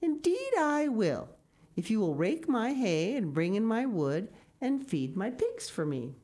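Brief: teasing village tailor, put on by a woman reader, slow storybook delivery, lively pitch.

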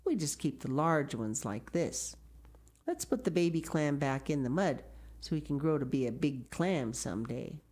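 A woman voicing a patient, gentle father who talks in a calm, kindly way. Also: low voice, moderate pace.

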